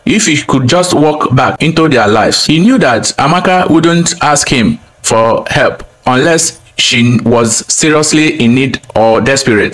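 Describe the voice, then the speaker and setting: A man telling a scripted folktale as a storyteller, in a simple, deliberate manner. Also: fairly high pitch, a bit bright, low-quality recording.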